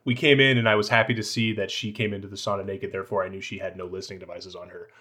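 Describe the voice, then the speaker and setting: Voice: low voice, little pitch movement, very fast, a bit bright. A podcast co-host in casual talk about a book, making wry, joking asides.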